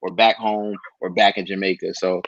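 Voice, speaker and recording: A man from Buffalo, New York co-hosting a casual podcast chat, playful and slangy with quick reactions. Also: low voice, little pitch movement, dry recording, lo-fi sound. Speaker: podcast co-host.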